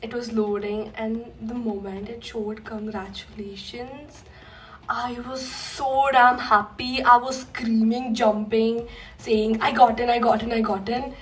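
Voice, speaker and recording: Young woman, excited and emphatic, slightly high, mic at a distance. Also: unhurried, a little hiss or hum. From Hyderabad, India. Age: young adult. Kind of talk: casual to-camera chat.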